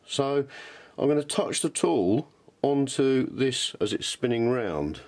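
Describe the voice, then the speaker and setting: A man's voice: mid-range voice, lively intonation, slow pace, darkish tone. A British man giving a casual, unscripted how-to demonstration in a rambling, self-deprecating, chatty way. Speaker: hobbyist maker presenting a workshop tutorial. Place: home workshop indoors. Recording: clear background noise and clicks in a workshop.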